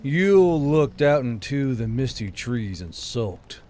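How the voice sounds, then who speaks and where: A man's voice: medium pitch, sing-song, slow pace, dark tone, a little hiss or hum. A man reading scripted fiction as an audiobook narrator. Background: background music.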